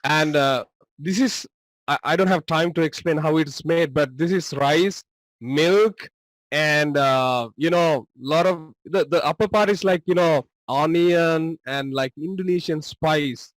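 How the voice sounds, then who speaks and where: A man with a Sri Lankan accent, Tamil by birth, shows slides to students over a video call. His voice sits somewhat high and sounds close and dry, and he talks in a friendly, loose way, full of small fillers. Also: measured pace, no echo, low-quality recording. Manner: friendly, loose, with many fillers like "you know" and "uh". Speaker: guest presenter.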